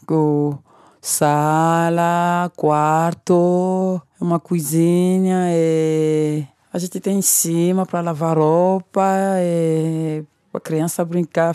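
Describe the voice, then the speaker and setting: A woman in her forties with a Congolese French accent, speaking slowly and haltingly as she hunts for words in her second language. Her voice sits low and mellow. The recording is close and dry. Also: smooth, no echo. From Kinshasa, Congo. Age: 40s. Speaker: interviewee. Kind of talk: personal testimony.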